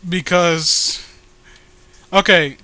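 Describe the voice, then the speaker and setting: A young man co-hosting a casual comedic read-along of a comic, excitable and swearing, often stumbling over his words. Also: sits high, very slow, no echo. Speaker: co-host reading a comic aloud.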